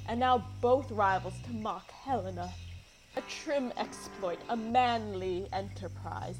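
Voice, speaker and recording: A woman playing a wounded, indignant young gentlewoman in a Shakespearean radio play, reproachful and theatrically emphatic. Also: mid-range voice, natural voice, poor audio. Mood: hurt, reproachful.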